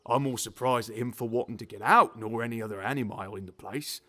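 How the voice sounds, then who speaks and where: An actor playing a grumpy but genial elderly zookeeper, telling things bluntly in rambling colloquial speech for an audio drama. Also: male voice, mid-range voice, fast talker, pushed and bright. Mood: storytelling, candid. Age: older. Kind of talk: audio drama character acting.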